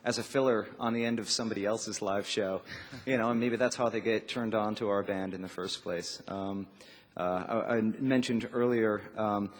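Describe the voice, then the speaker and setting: A man chatting casually and loosely as a musician being interviewed on stage. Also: on the low side. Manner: informal, loose, peppered with "you know". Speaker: band musician, interview guest. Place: theatre stage at a live radio taping. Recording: echoey theatre hall.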